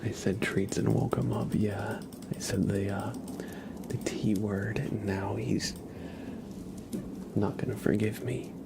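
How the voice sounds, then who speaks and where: A man streaming ASMR live, chatting casually in a gentle, hushed, breathy whisper. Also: lowish voice, little pitch movement, takes it slowly, pauses often, heavy background noise.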